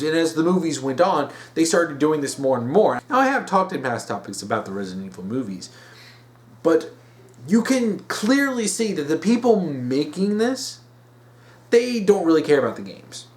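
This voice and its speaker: Guy doing an opinion rant, blunt and emphatic, slightly high voice, repeats stuff for punch. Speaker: host of an opinion series. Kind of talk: opinionated commentary.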